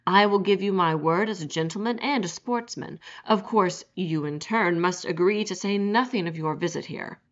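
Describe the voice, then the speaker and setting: A woman's voice: lowish voice, moderate pace, hardly any pauses, lightly acted. A woman playing a suave, courtly villain general. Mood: courtly, menacing. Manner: genteel, gracious, relishing. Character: suave, courtly, menacing aristocratic male general. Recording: dull lo-fi recording.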